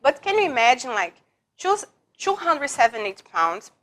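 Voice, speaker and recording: A woman's voice: high-pitched, very animated, moderate pace, fairly bright tone. A woman from Brazil with a Brazilian Portuguese accent and broken English, telling her life story in an interview, often repeating and restarting words. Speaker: guest.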